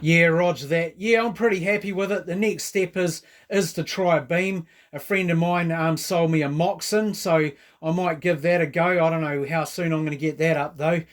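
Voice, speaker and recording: A New Zealand man in a casual radio chat. His voice sits a little high, and he talks in a chatty, informal way with plenty of operator jargon. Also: fairly even intonation, moderate pace, few pauses.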